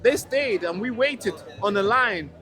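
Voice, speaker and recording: A man's voice: high-pitched, expressive, unhurried, a crowd in the background. A man born in a refugee camp in Tanzania, interviewing people at an outdoor protest in a friendly, questioning way. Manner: friendly, questioning, with fillers and restarts. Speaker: street interviewer. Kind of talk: casual street interview.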